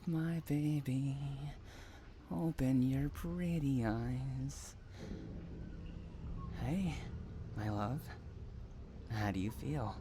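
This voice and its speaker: A man's voice: on the high side, slight background noise. A man acting an obsessive, unhinged stalker in an audio roleplay, cooing pet names and drawing out words between tenderness and anguish. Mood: cooing, soothing. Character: obsessive, unhinged male stalker lover, sweetly possessive and menacing. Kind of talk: dramatic roleplay audio monologue.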